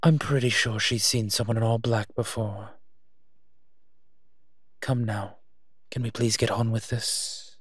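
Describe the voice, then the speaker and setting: Man as a slow, husky, low-voiced wry monster. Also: little pitch movement, no room sound, clean studio sound. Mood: wry, impatient. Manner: slow, teasing, with hums and pauses. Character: wry, dark-clad male monster partnered with a human detective. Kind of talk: roleplay audio drama acting.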